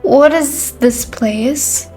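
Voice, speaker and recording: A woman playing a shy, guarded teenage girl in an amateur romance drama, reading a little haltingly. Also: high voice, warm tone, rough recording. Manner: hesitant, halting reading with stumbles. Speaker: character and first-person narrator. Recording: music behind the voice.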